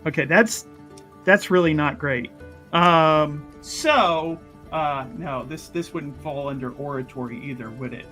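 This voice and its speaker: Higher-voiced man chatting at a tabletop game. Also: unhurried, sharp tone, soft background music. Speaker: player in a tabletop roleplaying game. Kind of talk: casual game table chat.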